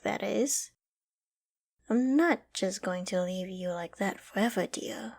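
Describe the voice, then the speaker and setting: A woman's voice: on the high side, darkish tone. A woman playing an obsessive, lovesick young survivor in an intimate roleplay drama, sweetly controlling, affectionate and possessive. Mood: coy, insistent. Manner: affectionate, giddy, possessive, with breathy sighs and giggles.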